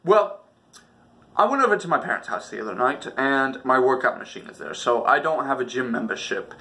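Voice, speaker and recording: A man's voice: medium pitch, expressive, fairly quick, pushed and bright. A man hosting a comedic storytelling video with a theatrical, exaggerated delivery. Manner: theatrical, exaggerated delivery with acted-out reactions and sound effects. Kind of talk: animated comedic personal storytelling.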